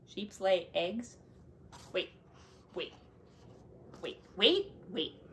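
A woman teacher reading a picture story aloud to children, expressive and dramatic, with chatty asides. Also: mid-range voice, drawn-out words, a little hiss or hum.

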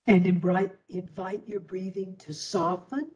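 Very slow, low-pitched woman guiding meditation. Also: steady tone, a little mellow, muffled recording. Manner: soothing, deliberate, with long pauses. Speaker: meditation and yoga teacher. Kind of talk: guided meditation. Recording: distant microphone.

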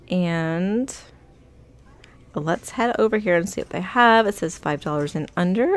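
Woman, low gravelly voice, casual shopping vlog chatter, upbeat, phone-recorded in a store aisle. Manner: chatty, upbeat, reads out prices. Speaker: shopping vlogger. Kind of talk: casual shop-with-me narration. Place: inside a large retail store.

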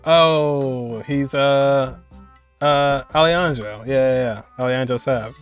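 Young male streamer, mid-pitched, casual reaction commentary over faint game music. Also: slow. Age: young adult.

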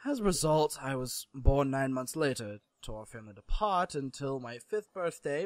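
A man's voice: medium pitch, dry recording, close to an everyday voice. A man voicing a young half-French man, sarcastic and guarded, who drops French curses into his speech.

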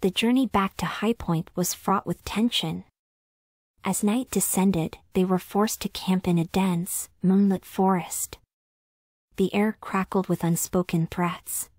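A woman narrating a scripted ASMR story in a soft, whispered delivery. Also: fairly low pitch, fairly even intonation, a little slow, dry recording, clean studio sound.

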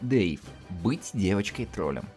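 A man's voice: sits low, big pitch swings, slow pace, a little mellow, faint music behind. A man reading scripted story narration in a dramatic style.

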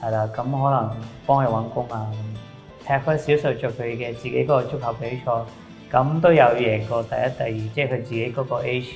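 Hong kong guy, community activity coordinator, easygoing, normal pitch, throws in english words, faint music. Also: fairly quick. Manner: plain, relaxed, mixes English words into speech. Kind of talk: documentary interview.

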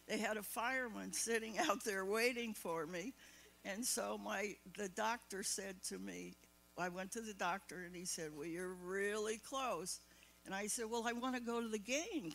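Older woman with a gravelly voice. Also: medium pitch, a bit bright, slight background noise, low-quality recording. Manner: chatty, laughing, restarts. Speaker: panel guest. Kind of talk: storytelling anecdote.

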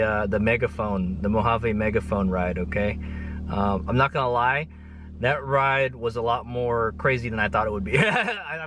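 A man's voice: medium pitch, noisy background. A man in his thirties giving a casual, rambling trip recap to camera from inside a vehicle, chuckling often and tagging phrases with "okay". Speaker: motovlogger.

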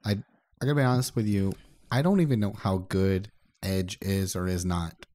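A man's voice: deep voice, moderate pace, a little mellow. A man co-hosting a podcast, discussing tech casually in a conversational, explanatory way. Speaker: podcast co-host.

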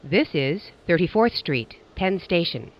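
A man's voice: on the high side, lively intonation, measured pace, muffled recording. A man reading scripted transit announcements as a train announcer, in formal, segmented phrasing.

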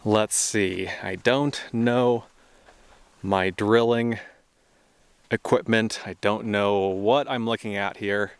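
A man's voice: on the low side, steady tone, slow, clear, resonant tone, dry recording. Easygoing man vlogging outdoors. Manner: easygoing, thinking aloud, with small laughs. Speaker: travel vlogger. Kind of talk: casual outdoor vlog narration. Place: outdoors at a remote test site.

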